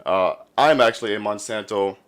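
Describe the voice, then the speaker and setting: A man's voice: lowish voice, moderate pace. A man hosting a podcast in a casual, laid-back, informal interview style. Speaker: podcast host.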